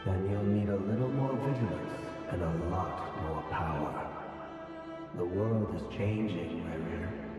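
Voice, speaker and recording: A man playing a cryptic, manipulative voice inside someone's head in a video game, calm and portentous, drenched in echo. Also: sits low, measured pace. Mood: cryptic, insistent. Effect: heavy echoing reverb. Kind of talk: dramatic video game acting. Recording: distant echoing hall, loud music.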